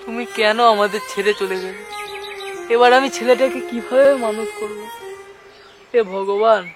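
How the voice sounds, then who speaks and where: Grieving widowed father voiced by an actor, soft, sorrowful, music bed. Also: mid-range voice, fairly even intonation, moderate pace, lightly acted. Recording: with music behind.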